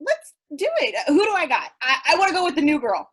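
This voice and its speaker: Woman playing rowdy eager devotee, high bright voice, animated, impatient, loud, staged reading. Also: fast talker. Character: Charlie, brash, overeager, rowdy pyramid scheme member.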